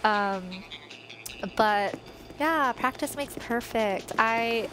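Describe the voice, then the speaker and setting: A woman's voice: a little high, drawn-out words, background noise. A young woman in her twenties, a native Tagalog speaker, streaming from her bedroom on a headset and chatting in a rambling way with lots of fillers. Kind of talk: casual chat while playing a life-sim game. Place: bedroom at home, indoors. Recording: game music playing behind.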